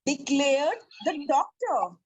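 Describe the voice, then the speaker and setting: High-pitched woman reading a story slowly. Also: big pitch swings, a little mellow. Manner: careful, halting reading. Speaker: narrator in a reader's theatre. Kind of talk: slow read-aloud story narration.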